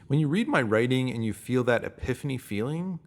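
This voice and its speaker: A man's voice: mid-range voice, lively intonation, a little fast. A man reading a scripted self-help piece, addressing the listener directly. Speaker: reader of written posts.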